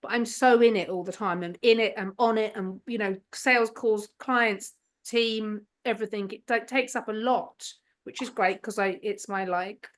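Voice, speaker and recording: A woman, a hypnotherapist chatting as a podcast guest, laughing often and trailing off into softer asides. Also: mid-range voice, moderate pace. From England. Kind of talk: casual interview chat.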